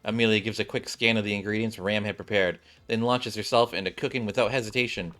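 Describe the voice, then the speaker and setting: A man's voice: lowish voice, fairly even intonation, quick pace, a bit bright, faint music behind. A man streaming a game, reading its story aloud and adding casual commentary. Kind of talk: narration and casual playthrough commentary.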